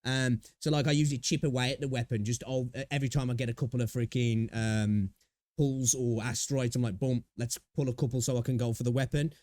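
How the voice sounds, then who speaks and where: A man giving casual, excitable reaction commentary on a game video. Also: mid-range voice, fast talker, dry recording, studio quality. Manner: chatty, excitable, curses casually. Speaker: channel host reacting to a video.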